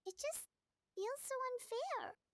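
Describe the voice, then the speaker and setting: A woman's voice: very high-pitched, lively intonation, slow pace, a bit bright, no echo, full character voice. A woman voicing a tiny childlike fairy companion in an anime-style game, earnest and cute. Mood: indignant. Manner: childlike, refers to herself by name.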